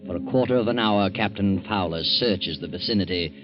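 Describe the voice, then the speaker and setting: Mystery narrator male actor: deep, ominous, theatrical 1940s radio delivery, music underneath. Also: fairly quick, dull audio, lightly acted. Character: ominous all-knowing mystery narrator who walks by night.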